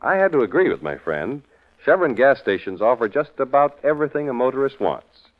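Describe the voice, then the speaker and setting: An American man announcing in 1940s radio style, narrating and pitching in a folksy, conversational scripted way. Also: big pitch swings, fairly quick. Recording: muffled vintage radio recording.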